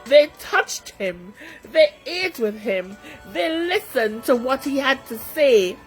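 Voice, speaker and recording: A woman storyteller reading a scripted children's story with expressive narration. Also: sits high, takes it slowly, faint music behind, poor audio.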